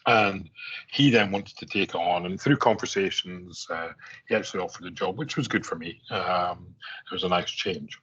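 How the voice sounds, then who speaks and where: A man's voice: deep voice, moderate pace, a bit bright, husky, muffled recording. A middle-aged man from Scotland with an Aberdeen accent, giving a conference presentation in a conversational way, with many fillers and restarts. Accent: Scottish, Aberdeen. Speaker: conference speaker.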